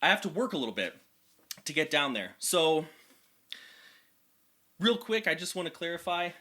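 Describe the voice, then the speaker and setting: A young man with a higher speaking voice, chatting energetically as a vocal coach and explaining vocal anatomy in technical terms. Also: very animated, normal speed, bright, forceful tone. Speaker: vocal coach reacting to music. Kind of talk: casual reaction and explanation.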